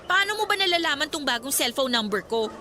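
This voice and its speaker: A woman's voice: high-pitched, sing-song, quick pace, crowd noise. An actress playing a guarded young female student in a radio drama, curt and emphatic. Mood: exasperated. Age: young adult. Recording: background music under the voice.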